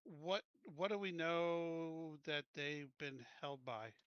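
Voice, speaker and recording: A man's voice: a little high, slow, clean tone, no echo, lo-fi sound. A man chatting casually as a player in a tabletop roleplaying game session.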